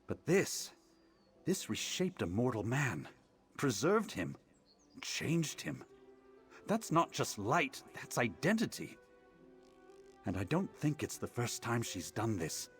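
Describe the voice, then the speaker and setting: An actor playing a grave, learned mage advisor in a fantasy video game, speaking in a deliberate, formal, solemn way. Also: male voice, mid-range voice, very animated, a bit bright, soft background music, exaggerated voice. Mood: pondering, solemn.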